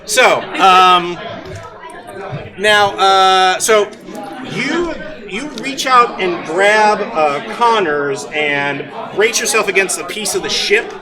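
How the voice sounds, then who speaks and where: A man working as game master, narrating a tabletop roleplaying game in a halting, improvised, amused way. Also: high-pitched, expressive. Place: live event at a gaming convention. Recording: live convention hall with crowd noise. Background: audience crowd.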